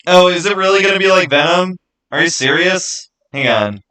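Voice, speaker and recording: A man hosting a livestream, chatting casually and answering viewers in an informal, opinionated, halting way that often repeats itself. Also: high voice, expressive, sharp tone. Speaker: livestream host.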